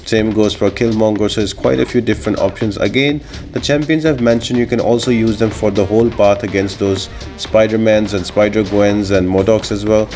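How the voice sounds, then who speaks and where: Low-voiced man giving game tips. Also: fairly even intonation, a little fast, hardly any pauses, darkish tone. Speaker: game guide video host. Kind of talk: gameplay tips commentary, explanatory. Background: music.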